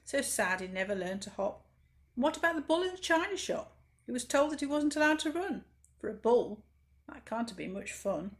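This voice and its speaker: A woman's voice: fairly high pitch, very animated, normal speed. A woman reading a rhyming children's story expressively, with dramatic emphasis, as a library storyteller.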